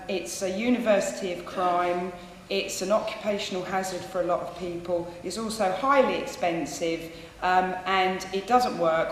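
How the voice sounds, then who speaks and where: Woman, campaign speaker, earnest and persuasive, loud voice, very reverberant hall. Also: on the low side. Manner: earnest, persuasive, with frequent ums; says she has a loud voice. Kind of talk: public talk at an event. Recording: echoey hall, faint noise.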